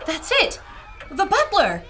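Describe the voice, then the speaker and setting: An actress playing a sharp-witted adventurer in a pulp radio serial, brisk, articulate and dramatic as she reports to listeners. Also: very high-pitched, wildly swooping pitch, slight background noise, heavily acted. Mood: urgent, clever.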